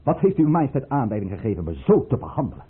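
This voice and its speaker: A man playing a proud, calculating governor at court in a period radio play, formal and theatrical, with a husky voice. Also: medium pitch, sing-song. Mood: indignant. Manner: formal, measured, theatrically indignant or deferential. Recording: muffled, tinny old radio recording.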